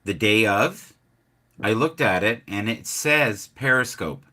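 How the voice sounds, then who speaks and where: Male host, casual livestream chat, hesitant halting delivery, very bright tone, lowish. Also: lively intonation. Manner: halting, restarts, many fillers.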